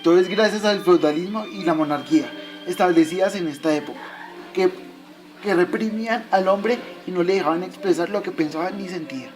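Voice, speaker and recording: A man's voice: high-pitched, a little fast, a little mellow, soft background music, close to an everyday voice, rough recording. A man playing a patient, instructive dead father in an amateur radio drama, explaining things to his son.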